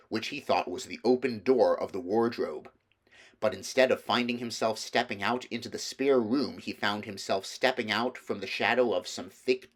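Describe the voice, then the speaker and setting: Male audiobook reader, fairly low voice, storybook narration.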